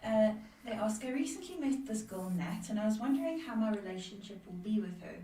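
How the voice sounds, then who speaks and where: A woman co-hosting a livestream, reading viewers' questions aloud in a brisk, matter-of-fact way. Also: mid-range voice, lively intonation, mellow, distant mic. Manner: brisk, matter-of-fact, moves things along. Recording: echoey room, away from the mic, faint noise.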